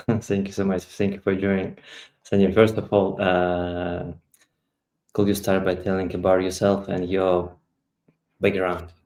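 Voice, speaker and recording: A man's voice: sits low, level tone, a little slow, pauses often, a little mellow, echoey room. A male podcast host who reads a scripted introduction evenly, with small slips, and then asks questions. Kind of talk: reading an intro, then interviewing.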